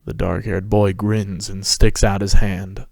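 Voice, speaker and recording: A man's voice: on the low side, flat delivery, measured pace, creaky, a little mellow, dry recording, clean studio sound. A man narrating a scripted story as a podcast host, reading it dramatically.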